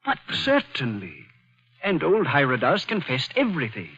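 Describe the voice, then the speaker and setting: Genial chatty man, lively, raspy, vintage radio. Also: on the high side, moderate pace, heavily acted. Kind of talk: 1940s radio acting. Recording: dull old radio broadcast.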